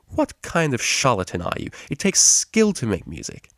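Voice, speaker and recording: A man's voice: medium pitch, wildly swooping pitch, normal speed, gravelly, dry recording, clean studio sound, pitched above the performer's own voice. A man voicing a haughty, prim young female pony cellist for a storybook, speaking formally and with disdain. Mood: scornful.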